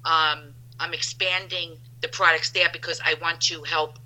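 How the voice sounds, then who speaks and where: A woman in her fifties chats as a podcast guest with a very bright, forward tone. She rambles cheerfully and keeps restarting her sentences, and her voice sits a touch low. The sound comes through like a phone line. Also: flat delivery, normal speed. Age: 50s. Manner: rambling, upbeat, many restarts. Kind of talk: casual chat.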